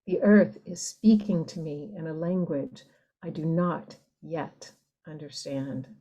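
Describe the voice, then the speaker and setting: A woman poet talking reflectively and reading her work in a thoughtful, deliberate way. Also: sits low, mellow, lo-fi sound. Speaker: poet, podcast guest. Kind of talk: reflective talk and poetry reading.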